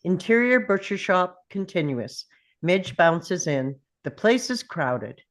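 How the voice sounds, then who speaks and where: A woman's voice: on the low side, big pitch swings, slow pace. A woman reading scripted stage directions as narrator at a table read.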